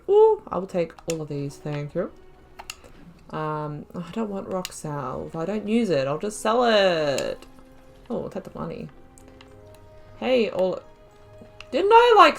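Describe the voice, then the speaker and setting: A woman gaming YouTuber giving casual, chatty commentary as she plays through a role-playing game, reacting out loud. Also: mid-range voice, creaky. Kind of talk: casual let's-play commentary.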